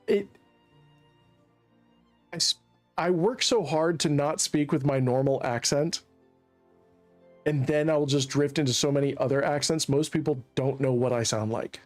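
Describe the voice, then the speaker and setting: A man's voice: a little high, a little slow, pauses often, soft background music. A man from Central Virginia chatting in a rambling, joking way while streaming a colony game. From Central Virginia, USA. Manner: rambling, chatty, laughs at his own jokes. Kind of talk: casual gameplay commentary.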